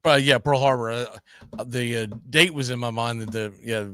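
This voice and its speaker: Bright-voiced man hosting casual baseball talk. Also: mid-range voice, fairly even intonation, normal speed, smooth. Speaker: host of a live baseball history radio show. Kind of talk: casual sports chat with co-host.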